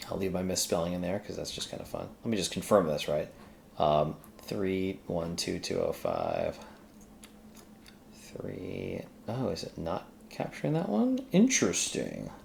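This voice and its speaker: Male screencast host, low gravelly register, informal think-aloud delivery with self-directed muttering, light background noise. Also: huge pitch swings, a little slow, a little mellow. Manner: mutters to self while working. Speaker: screencast host working through a coding task. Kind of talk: casual think-aloud coding tutorial.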